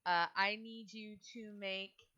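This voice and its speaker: A woman's voice: mid-range voice, steady tone, slow pace, sharp tone, muffled recording. A woman running a tabletop game as game master, casually switching between narration and rules talk in an informal way.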